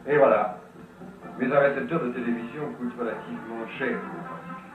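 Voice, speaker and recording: A man's voice: medium pitch, fairly even intonation, fairly quick, over music. A man addressing villagers at a meeting in a persuasive public speech. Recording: very echoey old film soundtrack, distant.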